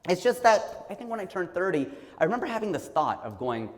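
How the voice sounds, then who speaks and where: A man's voice: high-pitched, sing-song, fairly quick. Forties guy from ohio doing a funny motivational talk, voice swings all over, big hall echo. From Ohio, USA. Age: 40s. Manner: conversational and joking, acts out quoted people. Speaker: comedian giving a motivational talk. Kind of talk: stand-up style motivational talk.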